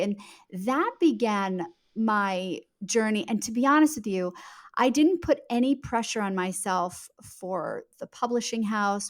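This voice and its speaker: A woman speaking as an author and TV producer in a conversational interview, telling stories in a reflective, candid and emphatic way, often repeating words for stress. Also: mid-range voice. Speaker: author and TV producer, podcast guest. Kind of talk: conversational interview answers, storytelling.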